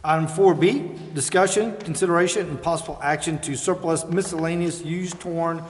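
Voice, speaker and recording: A man presiding over a city council meeting, reading agenda items and calling votes in a brisk, businesslike way. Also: fairly high pitch, steady tone. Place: council chambers. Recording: reverberant chamber with faint hiss.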